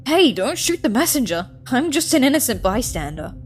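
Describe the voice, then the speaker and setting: A woman's voice: high-pitched, big pitch swings, moderate pace, slight background noise, voice pushed up. A woman voicing a male cartoon horse news reporter, protesting and defensive, in a story reading. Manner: protesting innocence.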